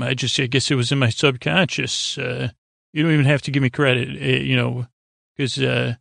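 Creaky-voiced man rambling through a bedtime podcast. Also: medium pitch, fairly bright tone, no echo, clean studio sound. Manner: meandering, halting, stumbles and repeats words, tangential. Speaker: podcast host.

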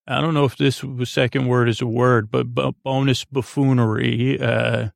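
A man with a very creaky, fairly flat voice talks in a dry, close-sounding recording. He rambles soothingly like a bedtime podcast host, wandering off, pausing long and restarting his sentences. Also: medium pitch, no echo. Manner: meandering and halting, with drawn-out pauses and false starts.

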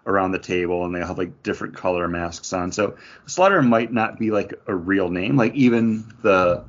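A man with a lowish voice chats casually as a podcast co-host, feeling his way tentatively through ideas. The recording sounds slightly muffled and lo-fi. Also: normal speed. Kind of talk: casual panel discussion. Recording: dull lo-fi audio.

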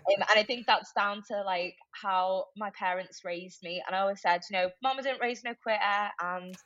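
A woman's voice: medium pitch, moderate pace, no echo. Casting: young Liverpudlian woman, gabby and upbeat guest, dry close sound, slightly dull lo-fi audio. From Liverpool, England. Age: young adult. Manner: chatty, lively, many fillers like "like" and "um". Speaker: tech recruiter, podcast guest. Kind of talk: casual interview chat.

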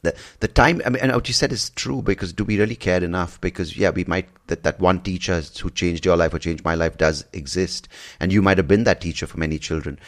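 A man hosting a podcast in casual conversation, talking haltingly with many false starts and repeated words. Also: low voice. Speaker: podcast host.

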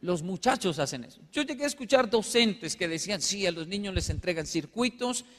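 A Colombian man with a notably high-pitched voice gives a humorous motivational talk. He tells stories in an animated way, acting out short exchanges as he goes. His voice rings with the long reverb of a big hall. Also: fairly quick. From Colombia, with Japanese background. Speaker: motivational speaker. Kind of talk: humorous storytelling talk to a live audience. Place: large hall with live audience.

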